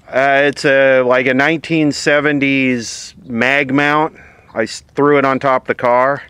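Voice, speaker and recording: A man's voice: medium pitch, little pitch movement, unhurried, fairly bright tone, clean tone, no echo. An American man chatting casually outdoors in a plainspoken, wry way. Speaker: vlogger testing a vintage CB radio. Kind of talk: casual chat. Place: outdoors at a table. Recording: faint background noise.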